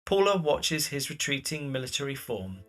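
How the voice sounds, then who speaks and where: A man's voice: medium pitch, sing-song, moderate pace, over music. A man reading scripted scene narration as the narrator of a period drama.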